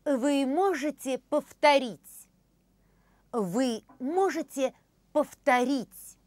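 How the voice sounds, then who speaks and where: A woman in her thirties demonstrating phrases for a language course, repeating each one slowly and carefully in a studio. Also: sits high, animated, a little mellow, no room sound. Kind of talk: slow, clear phrase demonstration. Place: studio with orange backdrop.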